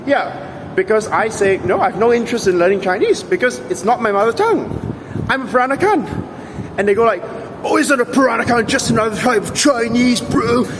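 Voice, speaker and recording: A man's voice: very high-pitched, expressive, a little fast, background noise. A man giving an opinionated, sarcastic monologue for his vlog, with an emphatic and argumentative way of talking. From Singapore. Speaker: vlogger.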